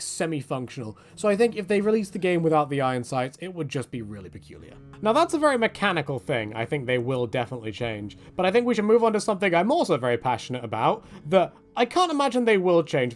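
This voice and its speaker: Hombre muy expresivo comentando videojuegos. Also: male voice, on the high side. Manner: rambling, animated, jokey exaggeration. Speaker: video game commentator. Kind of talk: casual opinion commentary on video games.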